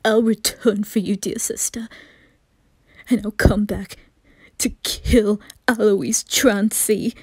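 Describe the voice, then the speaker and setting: Woman playing an intense, breathy boy earl. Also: medium pitch, fairly even intonation, slow, dry recording, exaggerated voice. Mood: vengeful. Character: earnest, commanding young boy earl, protective brother. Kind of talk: anime roleplay ASMR.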